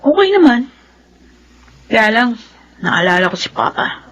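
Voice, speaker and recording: A woman's voice: on the high side, big pitch swings, unhurried, a bit bright, dull audio, exaggerated voice. A woman playing a downcast, wistful older sister in a radio drama, speaking plaintively. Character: downcast older sister missing her father.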